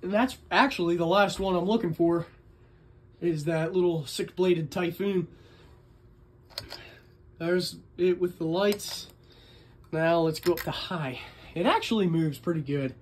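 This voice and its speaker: A man's voice: high voice, unhurried, pauses often, husky. A man talking casually and unscripted as a hobbyist vlogger, in a chatty, rambling, enthusiastic way. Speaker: vlogger, ceiling fan collector. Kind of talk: casual unscripted show-and-tell video narration.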